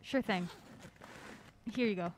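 A woman's voice: medium pitch, takes it slowly, noisy background, close to an everyday voice. A woman playing a curious, polite young woman drawn into a mystery in an audio drama.

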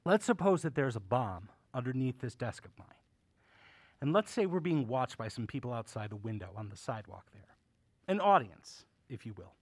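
An actor as a courtly, swooping theatrical old uncle. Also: male voice, mid-range voice, very animated, moderate pace, breathy edge, no room sound. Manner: genial, theatrical, old-fashioned courteous phrasing. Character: courtly, whimsical old uncle running a curiosity shop. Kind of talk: audio drama acting.

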